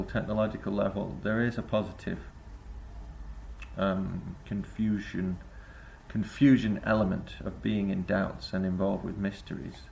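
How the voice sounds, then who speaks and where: A man's voice: fairly low pitch, gravelly, darkish tone, a little hiss or hum. A man giving a reflective spoken essay to camera as a vlogger, rambling and hesitant with many filler sounds. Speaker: vlogger and busking singer.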